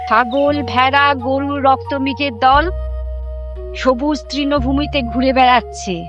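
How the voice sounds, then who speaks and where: A very high-pitched, imperious matriarch rules her prehistoric clan. She is vain and commanding, in the style of an audio drama, with music underneath. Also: steady tone, unhurried, muffled recording. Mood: commanding, resolute. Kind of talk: Bengali audio drama acting.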